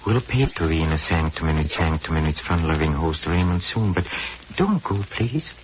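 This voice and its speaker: An actor playing a ghoulish, sly horror host, mocking and coaxing in theatrical 1940s radio style on a vintage recording. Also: male voice, low-pitched, lo-fi sound, slight background noise.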